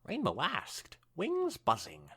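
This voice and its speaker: A man's voice: a little high, huge pitch swings, slow pace, gravelly, pushed and bright, slightly rough. A man co-hosting a podcast, narrating a story aloud and breaking into casual banter. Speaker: podcast co-host.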